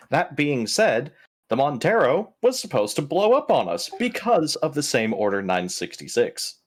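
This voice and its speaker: A man's voice: fairly high pitch, very animated, a little slow. A man bantering casually during a tabletop roleplaying game session.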